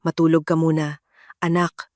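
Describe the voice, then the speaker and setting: A woman as middle-aged mother, tender, protective, angry edge, lowish pitch, audio drama. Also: little pitch movement, moderate pace, a little mellow, no echo, clean studio sound, natural voice. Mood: soothing.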